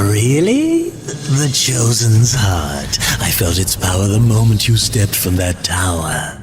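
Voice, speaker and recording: An actor playing an oily, scheming demon merchant in a video game, wheedling and theatrical with mock politeness. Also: male voice, lowish voice, heavily acted. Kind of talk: video game cutscene acting.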